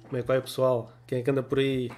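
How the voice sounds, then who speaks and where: Chatty host in his 40s, European Portuguese accent, a cold in his voice, mid pitch, faint music underneath. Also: completely flat delivery, quick pace, darkish tone. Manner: chatty, laughs often, greets viewers by name. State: has a cold. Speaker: photography livestream host. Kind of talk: casual livestream chat reading viewer comments. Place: small home room with desk lamp.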